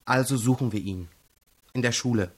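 An actor playing a practical, calm young man in a radio crime drama, speaking matter-of-factly. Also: mid-range voice, normal speed, breathy edge, natural voice.

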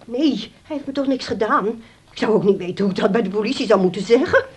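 A woman's voice: fairly high pitch, fast, breathy edge. An actress playing a fussy, bossy housewife in a radio play, hesitant and nagging as she manages her family. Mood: troubled, hesitant. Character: fussy, bossy housewife, daughter of old man.

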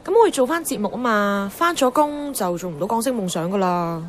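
A woman's voice: medium pitch, animated, fairly quick, close to an everyday voice. An actress playing an outspoken young woman in a Hong Kong Cantonese radio drama, blunt and teasing, moving between dialogue and inner narration. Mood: breezy, defiant. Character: outspoken, headstrong young woman, a radio host chasing her dream. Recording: music under the voice.

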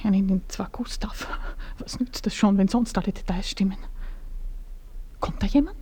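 A woman's voice: medium pitch, normal speed, mellow, natural voice. An actress playing a nervous noble lady of the house in a radio play, often half-whispering. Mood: musing.

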